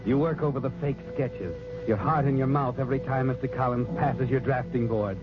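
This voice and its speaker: A man's voice: medium pitch, a little fast, close to an everyday voice. Casting: male actor as insinuating suspense narrator, 1940s radio thriller, heavy music behind, dull vintage sound. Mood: ominous. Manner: second-person, insinuating, suspenseful. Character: ominous male narrator needling the guilty hero. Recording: muffled old radio recording with music.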